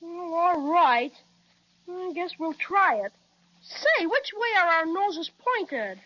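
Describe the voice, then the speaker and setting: A woman's voice: extremely high pitch, very animated, slow pace, full character voice. A woman playing a plucky, skeptical, slangy young boy in an old-time children's radio serial. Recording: muffled, lo-fi old radio recording.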